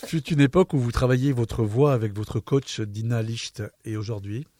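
A male radio host asking a guest measured questions in an interview. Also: mid-range voice, fairly even intonation, dark tone, no room sound. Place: radio studio.

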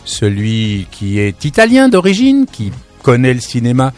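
Man, radio host, lively intonation, exclamatory, talkative, music in the background, studio mic. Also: medium pitch, measured pace. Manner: chatty, digressive, exclamatory. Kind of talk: lively radio show presenting and interviewing. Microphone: studio broadcast microphone.

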